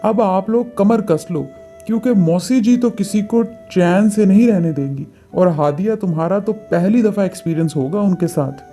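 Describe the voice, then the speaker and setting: Woman voicing a mellow, resigned young husband. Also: mid-range voice, moderate pace, soft background music, lightly acted. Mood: wry warning. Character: weary, teasing young husband bracing the family.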